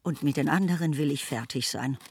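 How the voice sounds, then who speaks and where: An actress playing a novelist narrator, fond of her heroine, articulate and measured in an audio drama. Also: deep voice, darkish tone, slightly rough. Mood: composed, fond.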